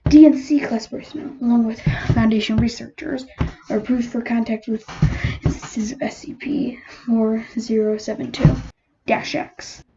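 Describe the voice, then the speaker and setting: A woman reading a scripted document aloud as narrator, haltingly, often stumbling over numbers. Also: fairly high pitch, fairly even intonation, dark tone. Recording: muffled lo-fi home recording, clear noise.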